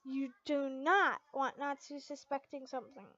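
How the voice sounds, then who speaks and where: A woman's voice: high-pitched, steady tone, normal speed, pushed and bright, no echo, muffled recording. A woman voicing an emotional, hot-headed teenage schoolgirl who stammers and exclaims, in an amateur story reading. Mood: self-steadying.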